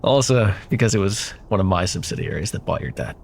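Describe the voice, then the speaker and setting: A man playing a smug, possessive corporate CEO and jilted ex-lover in an intimate ASMR roleplay, with silky condescension and pointed pauses. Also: low-pitched, expressive, gravelly, darkish tone, a little hiss or hum. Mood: smug, mocking.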